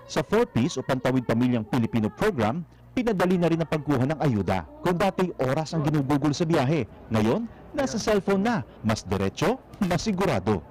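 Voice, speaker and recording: A man's voice: fairly high pitch, very animated, fairly quick, a little mellow, soft background music, rough recording. A man with a Filipino accent reading scripted narration for a news feature.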